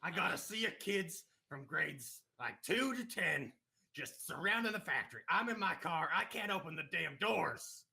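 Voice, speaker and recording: A man playing a gruff older father who runs a cigarette business, coughing and blustering. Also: fairly high pitch, lively intonation, normal speed, pushed and bright, exaggerated voice.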